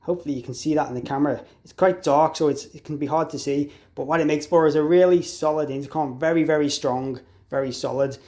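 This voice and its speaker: A man's voice: a little high, steady tone, fast talker, few pauses, dark tone. A man giving an informal, explanatory hands-on product tutorial as an installer. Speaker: installer demonstrating an intercom product.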